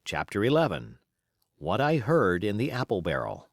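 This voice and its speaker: A man's voice: lowish voice, big pitch swings, moderate pace, no echo, clean studio sound. A man reading a classic adventure novel aloud as scripted narration. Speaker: audiobook reader.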